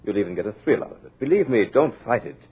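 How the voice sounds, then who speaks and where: A coldly polite madman from 1940s radio horror, formal and gloating. His low voice swoops widely as he measures out each menacing phrase. It is an old radio recording with sinister, theatrical delivery. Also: very animated, fast, a little mellow, breathy edge, heavily acted, poor audio. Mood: soothing madness.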